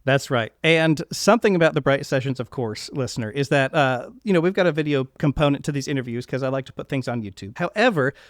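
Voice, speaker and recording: A man's voice: medium pitch, lively intonation, fast talker, few pauses, no room sound, studio quality. A man hosting an interview podcast, speaking in an earnest, effusive way. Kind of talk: podcast interview introduction and chat.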